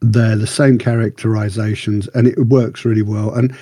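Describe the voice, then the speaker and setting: Male podcast reviewer, husky and mellow, chatty, rambling delivery with keen interest. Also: fairly low pitch, little pitch movement, normal speed, no room sound, studio quality. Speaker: podcast co-host reviewing books and radio dramas. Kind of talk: casual review chat.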